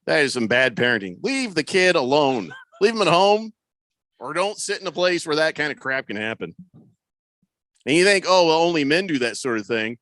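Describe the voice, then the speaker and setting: Bright-voiced man hosting sports radio. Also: a little high, animated, normal speed, no room sound. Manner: storytelling, reads listener comments aloud. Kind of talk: casual talk show banter.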